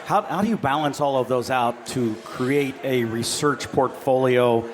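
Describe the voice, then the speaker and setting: A man's voice: mid-range voice, a little slow, slight background noise. A man moderating a panel, introducing speakers in an upbeat, chatty way.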